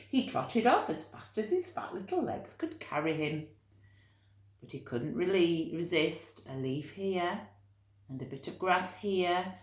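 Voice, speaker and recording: A woman reading a children's story expressively, with dramatic emphasis and drawn-out key words. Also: on the low side, echoey room.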